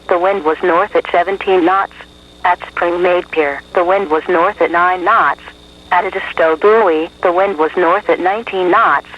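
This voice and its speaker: High-pitched synthetic man, dull radio sound. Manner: clipped, evenly measured synthetic delivery. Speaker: weather radio announcer. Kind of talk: automated scripted marine observations report. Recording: dull, lo-fi weather radio broadcast.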